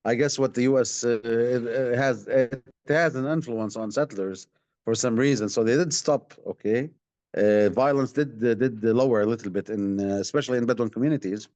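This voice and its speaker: A Palestinian man with an Arabic accent, speaking as an activist in a live online question session, halting and often repeating his words. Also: mid-range voice, darkish tone, dry recording. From Palestinian West Bank. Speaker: activist, director of a community rights organisation. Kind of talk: live online talk answering audience questions. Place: online video call. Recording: dull, lo-fi online stream sound.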